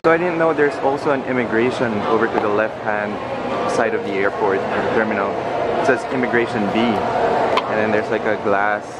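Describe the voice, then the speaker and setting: A man's voice: fairly low pitch, brisk, heavy background noise. A young man, narrating a casual travel vlog. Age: young adult. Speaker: travel vlogger. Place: busy airport terminal. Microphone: camera mic at arm's length. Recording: loud airport terminal ambience.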